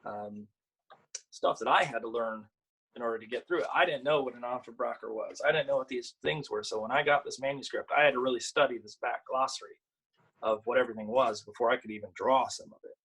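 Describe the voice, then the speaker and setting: A man with a slightly husky voice, an author and illustrator sharing picture books at a virtual storytime in an informal way. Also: medium pitch, fairly bright tone, rough recording. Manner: informal, halting when chatting. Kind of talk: virtual storytime, reading aloud and chatting about the books.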